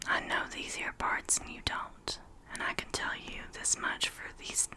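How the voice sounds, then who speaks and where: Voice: measured pace, natural voice. A whispering reader doing an old shepherd. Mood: grave. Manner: whispered storytelling voice. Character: old rustic male shepherd, grave and superstitious.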